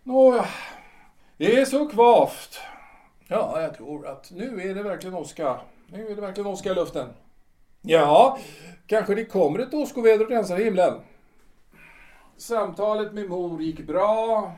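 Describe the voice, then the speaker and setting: An actor playing a suspicious retired civil servant of sixty-two in a radio drama, halting and repeating himself. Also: male voice, high voice, animated, darkish tone. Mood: uneasy. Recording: echoey room, distant from mic.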